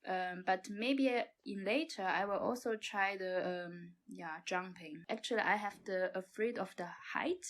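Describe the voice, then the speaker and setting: A young woman from China with a Chinese accent, chatting casually and haltingly as she searches for words. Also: mid-range voice. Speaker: interview guest, amateur actor. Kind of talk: casual chat.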